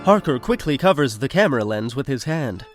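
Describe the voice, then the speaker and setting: A man's voice: mid-range voice, big pitch swings, brisk. A man reading scripted stage directions as the narrator of an audio drama. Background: music.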